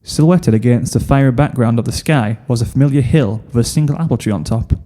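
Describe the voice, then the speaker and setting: A man's voice: mid-range voice, brisk, dark tone, big-room sound. A man reading a scripted second-person story as narrator. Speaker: fan fiction reader, narrator.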